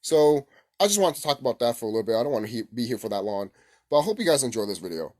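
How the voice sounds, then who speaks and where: A man's voice: medium pitch, sing-song, very fast, a little mellow. A man giving a casual, unscripted opinion rant as a gaming commentator, blunt and repetitive with heavy swearing.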